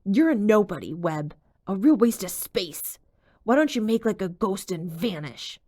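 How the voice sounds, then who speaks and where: A surly teenage football star, a boy, shouting his anger in raised, loud bursts, as a woman reading a storybook puts him on. He sounds slightly distant from the mic and heated all the way through. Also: sits high, big pitch swings, unhurried, exaggerated voice. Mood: furious shouting. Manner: shouting, furious.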